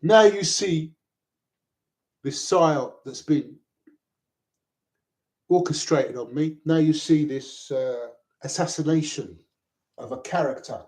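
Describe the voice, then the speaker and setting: A man's voice: fairly high pitch, takes it slowly, pauses often, darkish tone. A middle-aged man from Lebanon, talking in a rambling personal monologue. He is agitated, repeats himself and swears often. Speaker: vlogger. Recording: echoey room.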